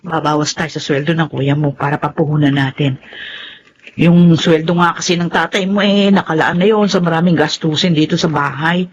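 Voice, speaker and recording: A woman's voice: deep voice, steady tone, moderate pace, slightly rough, lo-fi sound. A woman playing a practical, burdened middle-aged mother in a Filipino radio drama, with a Filipino accent. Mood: brisk, practical.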